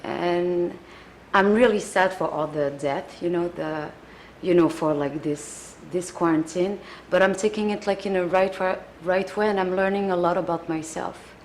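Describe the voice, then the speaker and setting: A young adult woman with a French accent, a singer answering interview questions in a casual, earnest way, often pausing to search for words. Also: lowish voice, darkish tone, a little hiss or hum, poor audio. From France.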